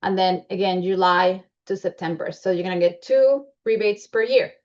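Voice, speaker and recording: A woman's voice: medium pitch, moderate pace, darkish tone. Colombian accent lady walking thru slides, lots of ums, kinda muffled lo-fi audio. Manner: chatty, many fillers and restarts. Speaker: membership presenter. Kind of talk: presentation walking through slides.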